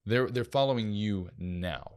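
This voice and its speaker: A man's voice: on the low side, expressive, measured pace, a little mellow, clean tone. A man co-hosting a casual talk show, speaking in a reflective way with frequent restarts. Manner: reflective, many fillers and restarts. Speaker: podcast co-host. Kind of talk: casual chat.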